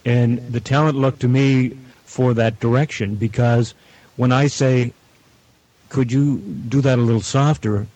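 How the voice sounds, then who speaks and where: A man speaking as a guest producer, explaining his work in measured, deliberate phrases. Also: mid-range voice, steady tone, a little mellow, dry recording, poor audio. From Canada. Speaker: audio producer and director, guest. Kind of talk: explanatory interview talk.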